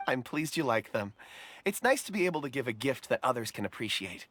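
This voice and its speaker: An actor playing a soft-spoken, polite and wistful young man who is fond of rocks. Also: medium pitch, sing-song, fairly quick, slight background noise. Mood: gentle.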